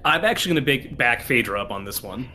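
A man's voice: mid-range voice, expressive, fast talker, pushed and bright, slight background noise. A man playing a tabletop game with friends, chatting casually in jokey slang.

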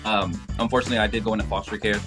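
A man in his thirties with a lowish voice, talking over a video call. He speaks loosely and casually, with many fillers and restarts. Clear music plays under his voice. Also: rapid-fire. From Sacramento, California. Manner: relaxed, rambling with fillers and restarts. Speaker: interview guest, singer. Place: indoor room.

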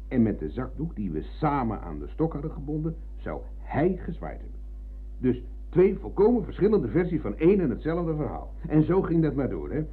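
A man's voice: on the high side, big pitch swings, moderate pace, slightly rough. An actor playing a rambling, argumentative older ex-soldier in a radio play, hesitating and digressing as he reminisces. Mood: insistent, argumentative. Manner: digressive, hesitant searching, tag questions. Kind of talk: Dutch radio play acting. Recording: muffled phone-like old radio recording.

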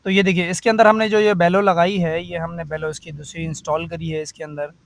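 A man's voice: on the high side, flat delivery, brisk, no echo. A man, a car AC mechanic, walks through a repair step by step and keeps saying "theek hai" and "ye dekhiye". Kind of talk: workshop tutorial.

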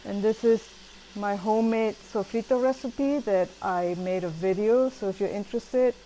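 A middle-aged woman calmly talking through her cooking steps in a chatty home tutorial voice-over. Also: medium pitch, moderate pace, slight background noise, low-quality recording. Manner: relaxed, chatty step-by-step explaining. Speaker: home cook.

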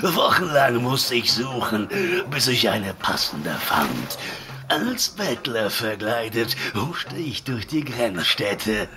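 An actor playing a fat, boastful, lecherous mercenary slaver in a fantasy audio drama, with a drawling, gloating manner. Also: male voice, fairly low pitch. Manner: drawling, gloating, leering, chuckling.

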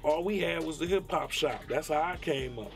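A man's voice: fairly high pitch, normal speed, faint music behind. A man from Detroit, a rapper, talking bluntly and profanely in a laid-back interview with a Detroit accent. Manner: laid-back, blunt and profane, many "you know what I'm saying". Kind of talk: candid interview talk.

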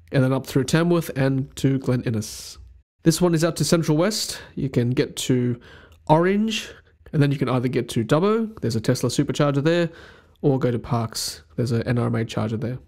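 A man's voice: medium pitch, a little mellow. A young man giving an upbeat, methodical voice-over, listing tips by number as the host of his channel. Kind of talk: voice-over explaining a map with slides.

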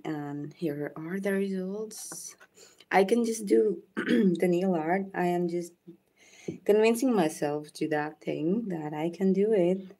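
Soft slow-talking woman, Urdu native. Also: lowish voice. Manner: soft-spoken, unhurried, thanks viewers by name. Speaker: nail art tutorial host. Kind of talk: live nail art demonstration with chat shout-outs.

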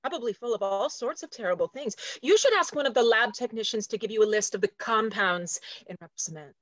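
A woman's voice: fairly high pitch, expressive, quick pace, fairly bright tone, no echo. A woman playing a prim, territorial junior executive assistant in a stage play reading, with a precise, officious, matter-of-fact delivery. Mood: fussy. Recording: dry video call.

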